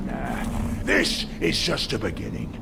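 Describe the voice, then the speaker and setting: Very gravelly, gloating orc villain. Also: male voice, deep voice, full character voice. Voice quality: gravelly, guttural. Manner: taunting, drawn-out menace. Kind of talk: video game cinematic acting.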